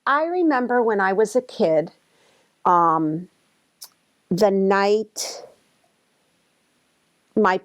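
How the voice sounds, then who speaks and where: A woman in her early sixties giving a personal, reflective spoken recollection. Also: medium pitch. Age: early 60s. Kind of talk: personal oral-history recollection.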